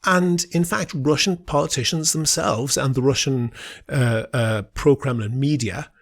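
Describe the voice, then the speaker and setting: A man with a middle-pitched voice speaks as a guest commentator on a political podcast. He lays out his analysis in an explanatory, assertive manner, building his case point by point. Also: measured pace.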